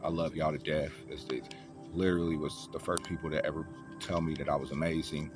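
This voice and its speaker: A man's voice: very deep voice, steady tone, fairly quick. A young man telling his life story in a rambling, profane, casual way, with many restarts. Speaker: vlogger. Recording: loud music playing behind the voice.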